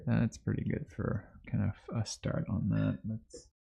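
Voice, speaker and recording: A man's voice: fairly low pitch, level tone, a little slow, vocal fry, dark tone, husky. A man in his thirties from Trinidad and Tobago, chatting casually and easily on a livestream while he works, in slightly muffled audio. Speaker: livestream host, 3D artist. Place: small indoor home workspace.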